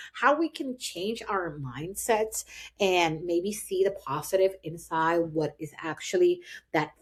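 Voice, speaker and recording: A woman with a Puerto Rican accent and Spanish as her first language, hosting a motivational podcast and talking straight to her listeners in an earnest, encouraging way. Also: mid-range voice. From Puerto Rico. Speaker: podcast host.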